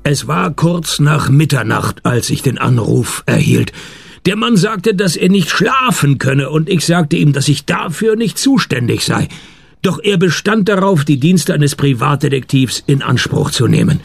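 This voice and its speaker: A man playing a dry, world-weary private detective in a radio play, deadpan and sarcastic. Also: on the high side, unhurried, gravelly, slightly rough, full character voice. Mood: wry narration. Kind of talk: first-person radio detective narration and dialogue.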